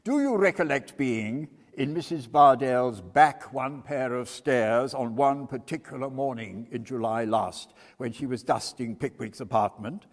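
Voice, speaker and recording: A man's voice: mid-range voice, unhurried, hardly any pauses, natural voice. A man playing a pompous prosecuting barrister in a stage reading, with theatrical, emphatic and grandiose delivery. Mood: probing. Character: pompous male prosecuting barrister orating at a jury. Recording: reverberant church hall.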